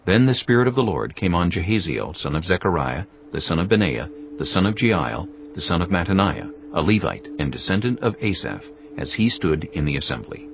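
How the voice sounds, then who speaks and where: A man narrating scripture in a measured, expressive storytelling voice. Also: low-pitched, gravelly. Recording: slightly muffled recording with faint music.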